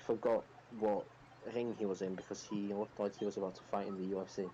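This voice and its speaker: A man's voice: fairly low pitch, flat delivery, fast talker, mellow, muffled recording, slight background noise. A man chatting casually as a podcast panelist about sport, blunt and critical.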